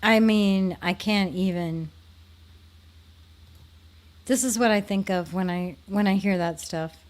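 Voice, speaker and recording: A woman's voice: medium pitch, fairly even intonation, takes it slowly. A woman with a Minnesotan accent hosting a show, talking casually to her viewers in a rambling way that trails off. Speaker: show host.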